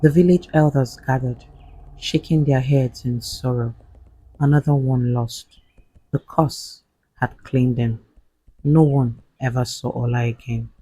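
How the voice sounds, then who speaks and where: A man narrating a scripted horror folktale as a storyteller, in a grave, suspenseful manner with a husky voice. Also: medium pitch, steady tone, dark tone, no room sound, low-quality recording. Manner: slow, grave, suspenseful delivery.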